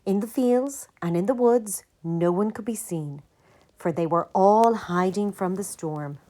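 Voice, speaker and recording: A woman narrating a children's picture book aloud in a gentle, deliberate manner. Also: mid-range voice.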